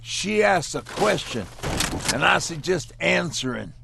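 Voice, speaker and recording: A suspicious male survivor, with a heavily creaking, fairly high voice. He speaks slowly and confrontationally, with lively swings in pitch. Faint noise lies behind him. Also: exaggerated voice. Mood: suspicious, alarmed. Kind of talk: dramatic video game acting.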